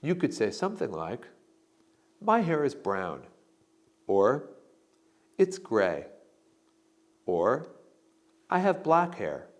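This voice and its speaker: A man narrating an English lesson, reading out model answers slowly and deliberately. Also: mid-range voice, wildly swooping pitch. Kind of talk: slow instructional model answers.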